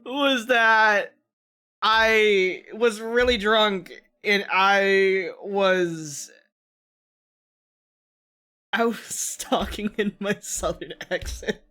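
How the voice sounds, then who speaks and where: A woman's voice: medium pitch, steady tone, very slow, long pauses, bright, forceful tone. A woman with a Midwestern American accent chatting on a stream, giggling and stammering through her restarts. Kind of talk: casual stream chat.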